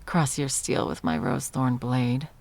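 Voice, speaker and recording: A woman's voice: extremely low pitch, animated, a little slow, heavy background noise, full character voice. A woman acting an arrogant, centuries-old immortal sorceress tyrant in an ASMR roleplay, teasing and theatrical, at times slipping into whispers. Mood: thrilled, combative. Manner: mocking, purring, theatrical, slipping into breathy whispers.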